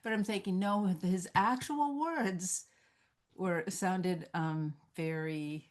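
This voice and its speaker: Woman, lowish voice, Q&A moderator, conversational, reflective, encouraging. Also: slow. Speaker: moderator of a script-reading Q&A. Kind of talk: conversational Q&A hosting, reading out audience comments.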